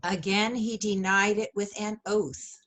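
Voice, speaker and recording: Woman reading bible story in church style, average pitch. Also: fairly even intonation, measured pace, a bit bright. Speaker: lay scripture narrator.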